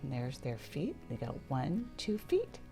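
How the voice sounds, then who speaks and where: A woman's voice: very deep voice, wildly swooping pitch, measured pace, mellow, soft background music. A woman reading a picture book aloud to young children in a playful, interactive storytelling manner. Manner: playful, asks listeners questions and points things out.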